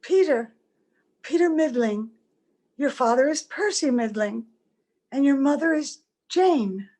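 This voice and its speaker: Actress as a high-voiced, weary wandering young woman. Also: lively intonation, a little slow, a little mellow. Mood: hesitant, yearning. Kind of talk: old-time radio drama acting. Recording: home video-call audio, music behind.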